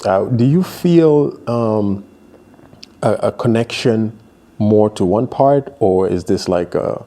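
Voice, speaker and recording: A man in his thirties hosting an interview, asking halting questions and backing the guest up with frequent short replies, in a small studio room. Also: lowish voice, lively intonation, dark tone. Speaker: interview host. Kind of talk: casual interview.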